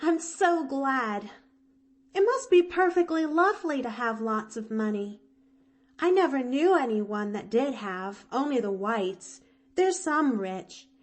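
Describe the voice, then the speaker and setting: A woman's voice: high-pitched, pitched above the performer's own voice. A woman voicing a cheerful, eager little orphan girl who talks breathlessly and gushes. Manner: breathless, gushing, chattery. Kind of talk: audiobook character voice.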